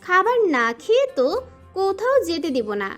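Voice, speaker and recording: A woman's voice: very high voice, big pitch swings, normal speed, fairly bright tone, soft background music, heavily acted. A woman playing a sweet, forgiving young girl in an audio story drama.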